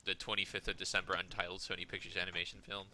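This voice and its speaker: A man's voice: little pitch movement, rapid-fire, pushed and bright. A man co-hosting a podcast, chatting casually about movies with friends. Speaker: podcast co-host. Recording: noisy mic with rumble.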